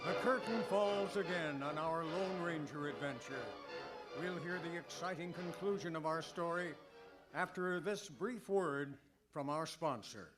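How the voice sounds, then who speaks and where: An actor playing a dramatic announcer for an old time radio serial. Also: male voice, fairly high pitch, measured pace, lightly acted, low-quality recording. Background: loud music.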